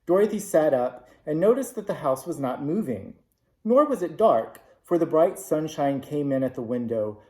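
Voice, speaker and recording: A man reading a classic children's novel aloud, with measured, expressive narration. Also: mid-range voice. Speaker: storybook reader. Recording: roomy echo.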